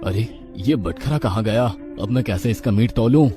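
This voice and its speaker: A man's voice: lowish voice, normal speed, warm tone, natural voice. A man voicing a simple, devout village butcher in a cartoon, earnest and apologetic. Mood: puzzled. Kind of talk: cartoon voice acting. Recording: background music under voice.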